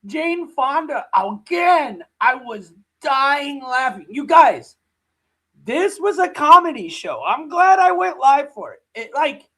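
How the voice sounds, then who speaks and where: A man's voice: extremely high pitch, big pitch swings, takes it slowly, sharp tone. A man hosting a livestream, giving mocking live reaction commentary with a sore throat. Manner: mocking, laughing ranting. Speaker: livestream host.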